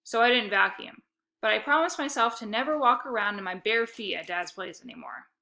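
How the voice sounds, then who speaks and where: A woman reading a children's story aloud in an expressive, emphatic narrating style. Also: a little high, a little fast, vocal fry. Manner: animated, emphatic dialogue.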